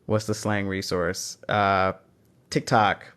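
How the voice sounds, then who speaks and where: A man's voice: on the low side, flat delivery, slow, a bit bright, clean tone. A man with a Texan accent hosting a live phone stream, reading out chat questions and encouraging language learners. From Texas. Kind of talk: casual livestream Q&A.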